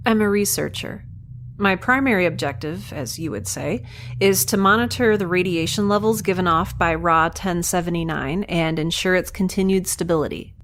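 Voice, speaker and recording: A woman playing a defiant, quick-witted young researcher who is nauseated and concussed and talks back sardonically. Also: lowish voice, a bit bright, slight background noise. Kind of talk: audio drama acting.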